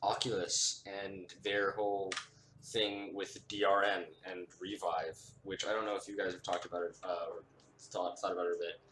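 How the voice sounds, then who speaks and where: Young man, very deep voice, loose chatty delivery full of fillers, roomy echo, faint hiss. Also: level tone, fairly bright tone. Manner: filler-heavy, laughing, quick explainer. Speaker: podcast co-host. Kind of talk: casual podcast chat. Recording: echoey room, faint hiss.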